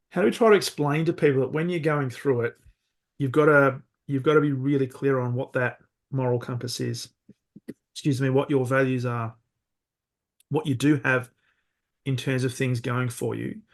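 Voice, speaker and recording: A man's voice: medium pitch, fairly even intonation, moderate pace, pauses often. A man hosting a podcast interview, asking thoughtful questions and restarting words as he builds them. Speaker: podcast host.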